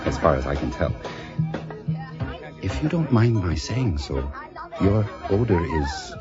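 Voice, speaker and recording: A man's voice: low voice, full character voice. A man playing a polite, bewildered alien visitor in an audio drama, speaking formally and hesitantly. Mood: courteous, smitten. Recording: dull lo-fi, music and party crowd behind.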